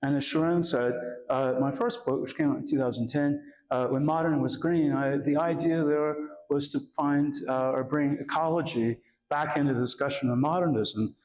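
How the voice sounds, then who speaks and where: A man with a raspy voice from a cough, giving an academic lecture in a halting way with many pauses and fillers. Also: fairly high pitch, steady tone, a little mellow, rough recording. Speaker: guest lecturer.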